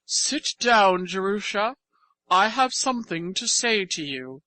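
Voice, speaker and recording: A woman voicing a self-important orphanage matron, formal and measured, in an audiobook. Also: medium pitch, lively intonation, pushed and bright, dry recording, poor audio. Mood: affable. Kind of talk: audiobook character voice.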